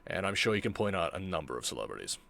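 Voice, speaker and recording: A man narrating a reflective vlog in a deliberate way, with dramatic pauses and emphatic repetition. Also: sits low, sing-song, gravelly, pushed and bright. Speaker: video creator, former amateur voice actor.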